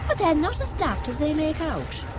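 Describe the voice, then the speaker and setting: Shrewd folksy aunt, wry, highish animated voice, music behind, radio thriller. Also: female voice, normal speed. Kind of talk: spy radio drama acting.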